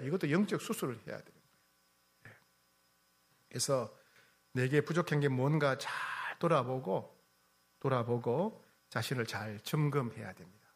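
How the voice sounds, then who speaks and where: A male pastor preaching a sermon, asking the congregation questions, teasing them and repeating key phrases. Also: high voice. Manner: repeats key phrases, swings from loud to soft.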